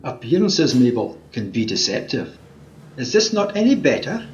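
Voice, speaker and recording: A man's voice: mid-range voice, sing-song, normal speed, slight background noise. Scottish wish-granting tramp male amateur actor, radio play. Cajoling, theatrical, swooping intonation, slightly muffled remote recording. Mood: smooth, persuasive. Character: pleading, mischievous male wish granter disguised as a scruffy tramp. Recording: dull remote home recording.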